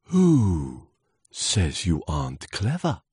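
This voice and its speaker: Man as a swooshing, slow, mysterious wind. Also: sits low, huge pitch swings, darkish tone, breathy edge, no echo, clean studio sound, full character voice. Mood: encouraging. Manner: swooshing, drawn-out. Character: mighty, mysterious, kindly encouraging wind.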